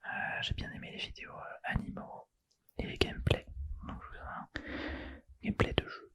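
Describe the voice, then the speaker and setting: A young man whispering a casual, slangy chat for an ASMR channel, close to the mic. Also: normal speed. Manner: casual and slangy, self-deprecating. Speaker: ASMR YouTuber.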